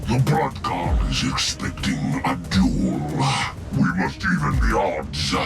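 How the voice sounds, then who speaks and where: A deep, slow chaos warlord. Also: male voice, big pitch swings, loud music behind the voice, exaggerated voice. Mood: menacing. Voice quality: extremely deep, slow menacing delivery. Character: brutal male chaos warlord, honor-bound. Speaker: villain.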